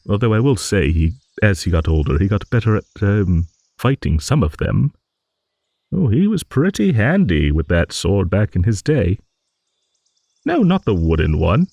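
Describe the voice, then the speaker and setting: A man playing an old dragon, wistful, slightly vain, rambling, very lively intonation, close dry sound, fantasy monologue. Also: lowish voice, vocal fry, no echo, full character voice. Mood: fond reminiscing. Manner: rambling, musing, with hums and soft chuckles. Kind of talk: improvised fantasy audio monologue.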